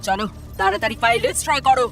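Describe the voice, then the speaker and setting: Actress as a high-pitched, menacing cartoon villainess. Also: female voice, lively intonation, racing, natural voice. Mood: commanding, smug. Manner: menacing, theatrical, commanding. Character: cruel, threatening female villain blackmailing a police inspector. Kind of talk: dramatic cartoon voice acting. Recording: music under the voice.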